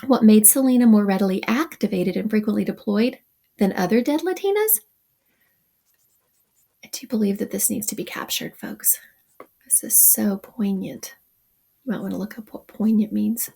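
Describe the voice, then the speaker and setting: Woman reading and commenting on a text, gravelly. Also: medium pitch, lively intonation, normal speed, pauses often, mellow. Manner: reads passages then adds wry asides. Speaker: teacher.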